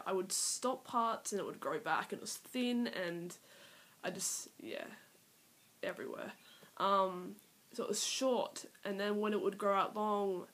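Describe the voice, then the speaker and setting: Nervous young Australian woman rambling. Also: medium pitch. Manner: rambling, hesitant, lots of fillers and drifting into near-whispers. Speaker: vlogger. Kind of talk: nervous personal vlog to camera. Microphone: iPad built-in mic.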